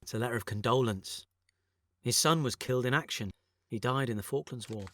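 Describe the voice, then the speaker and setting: A man's voice: medium pitch, brisk, a bit bright, dry recording, clean studio sound, close to an everyday voice. A man with a British accent playing an earnest, touchy jobbing actor in a naturalistic audio drama, speaking colloquially and hesitantly. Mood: shocked. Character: jobbing actor John, earnest, wistful, touchy, working a council clearance job.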